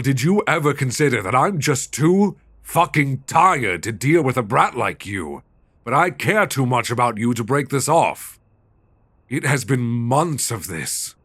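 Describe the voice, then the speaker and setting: A man's voice: medium pitch, dry recording. A man with a British accent playing a stern, weary but devoted dominant boyfriend in an intimate, emotionally intense audio roleplay, close on a binaural mic. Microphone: close binaural microphone.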